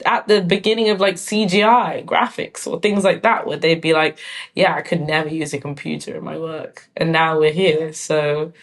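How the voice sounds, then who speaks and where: A woman talks through her ideas as an interview guest. Her voice sits low for a woman. She thinks aloud, filling pauses with "like" and "um.". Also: steady tone. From London. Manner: thoughtful, frequent "like" and "um" fillers. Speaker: guest artist and filmmaker. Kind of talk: casual conversational interview.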